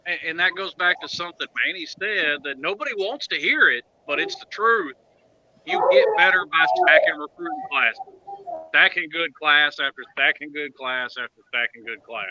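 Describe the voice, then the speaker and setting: A man's voice: on the high side, expressive, normal speed, lo-fi sound, background noise. A man in his thirties talking college football casually as a panelist, blunt and emphatic, repeating points to drive them home. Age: 30s. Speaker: panelist on college football fan talk show. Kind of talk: casual sports debate.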